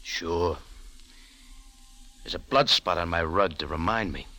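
An actor playing a cynical, world-weary bar owner in a 1940s radio drama, terse, sardonic and slangy. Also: male voice, deep voice, a little slow, bright, forceful tone, full character voice. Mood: weary, cool. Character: hard-boiled cynical bar owner, weary noir adventurer. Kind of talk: 1940s radio detective acting and narration. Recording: old radio recording with music.

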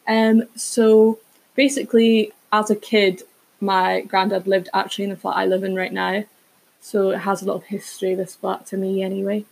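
A woman's voice: medium pitch, level tone, moderate pace, creaky, darkish tone, rough recording. A woman, chatting casually as a solo podcast host in a rambling way with self-aware asides. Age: 20s.

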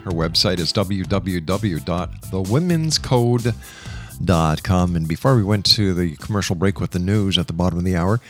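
A man hosting a radio interview show, speaking in a measured, emphatic way with deliberate pauses. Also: low-pitched, faint music behind. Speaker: radio talk show host.

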